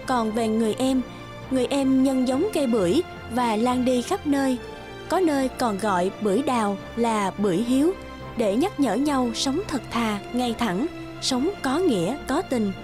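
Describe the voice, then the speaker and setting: A woman's voice: on the high side, moderate pace. A woman narrating children's fairy tales from a script as a cartoon storyteller. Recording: music behind the voice.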